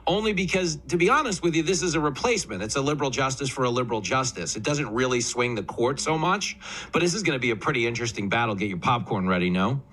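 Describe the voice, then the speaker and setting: Bright-voiced joking New host. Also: male voice, mid-range voice, animated, slight background noise, low-quality recording. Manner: chatty, quick joking asides. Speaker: show host. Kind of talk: political talk show.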